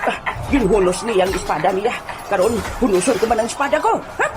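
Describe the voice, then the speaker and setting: A woman playing an excitable leader of sword fighters in a comedic audio drama. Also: mid-range voice, a little fast, very noisy, lightly acted. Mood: boastful, urgent. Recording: loud music bed behind voice.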